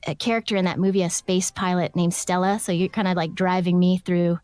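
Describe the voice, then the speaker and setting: A woman voice actor chatting casually in an interview, animated and self-deprecating. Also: on the low side, brisk. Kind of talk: casual chat.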